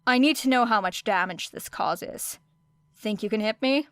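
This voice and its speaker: A woman's voice: a little high, normal speed, fairly bright tone, clean tone, dry recording, clean studio sound, lightly acted. Woman playing a wry, reckless young female fighter. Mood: matter-of-fact.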